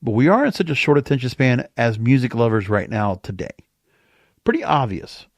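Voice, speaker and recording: A man's voice: mid-range voice, expressive, normal speed, no echo. A man hosting a podcast alone in a casual, conversational, rambling way, as if thinking aloud. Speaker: podcast host. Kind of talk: casual solo monologue. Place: home or small studio.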